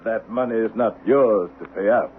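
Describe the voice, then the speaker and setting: An actor playing a cool, sardonic, menacing avenger in a 1970s western radio drama, controlled and American-accented. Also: male voice, on the low side, moderate pace, natural voice. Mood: taunting. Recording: muffled, hissy vintage radio recording.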